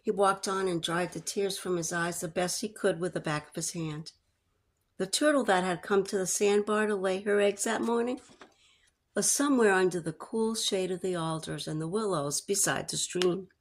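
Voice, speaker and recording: A woman's voice: on the low side, normal speed. A woman reading a story aloud as a storyteller, in narration style.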